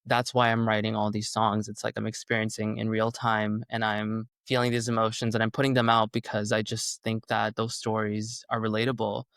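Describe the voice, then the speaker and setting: A man's voice: lowish voice, completely flat delivery, a little fast, creaky, few pauses, fairly bright tone, smooth, dry recording. A young man, a singer whose first language is Urdu, talking in a rambling casual way with many fillers. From Canada. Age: young adult. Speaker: singer-songwriter guest. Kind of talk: casual interview chat.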